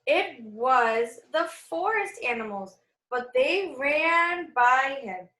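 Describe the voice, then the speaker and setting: A woman reads a picture book aloud to young children in a high-pitched voice. She takes her time, telling it expressively like a teacher at storytime. The room around her is noticeably echoey. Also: lively intonation, slow, sharp tone.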